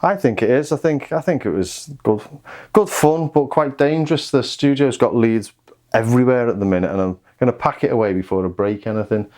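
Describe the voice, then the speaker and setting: A man's voice: medium pitch, a little fast, darkish tone. A man giving a casual hands-on music gear demo, thinking aloud in an offhand way. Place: home music studio.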